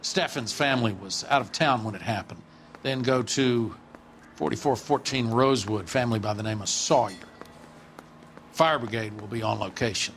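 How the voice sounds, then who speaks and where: An actor playing a curt, commanding, foul-mouthed police captain in a 1940s crime drama game. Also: male voice, medium pitch, moderate pace, fairly bright tone, a little hiss or hum, lightly acted. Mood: brusque orders. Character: hard-nosed, foul-mouthed police captain running a squad.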